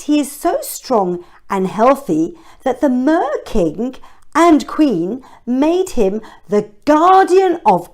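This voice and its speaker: Female children's storybook reader, highish voice, very lively intonation, speaks directly to little listeners. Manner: expressive, chatty asides to children. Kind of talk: read-aloud storytelling with questions to young listeners.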